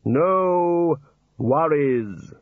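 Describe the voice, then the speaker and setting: A man voicing Death as a calm, courteous spirit. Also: mid-range voice, a little slow, no echo, phone-quality sound, close to an everyday voice. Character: Death, calm polite skeletal male spirit.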